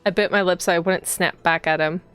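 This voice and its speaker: A woman's voice: on the low side, steady tone, brisk, fairly bright tone, clear, resonant tone, no echo, faint music behind, close to an everyday voice. A woman reading the part of a proud, dutiful young policewoman in a visual novel, earnest and easily indignant. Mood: composed.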